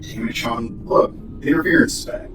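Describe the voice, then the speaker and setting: A man's voice: brisk, a bit bright, soft background music, natural voice. A man playing a stressed, sweary technician who sounds edgy and accusing, in an audio horror drama. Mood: frustrated, busy. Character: stressed, sweary signal technician with strained loyalties. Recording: roomy, distant, noisy.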